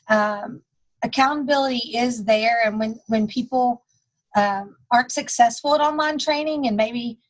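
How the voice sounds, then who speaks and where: A woman's voice: fairly high pitch, poor audio. A woman giving a recorded staff training talk, casual, with dry humor and many fillers. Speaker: school testing coordinator.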